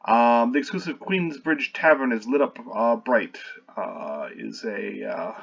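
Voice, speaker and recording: A man's voice: mid-range voice, animated, a little slow, vocal fry, a bit bright, slightly rough, dull audio. A man reading his own screenplay aloud while revising it, stopping often to correct himself and add wry asides.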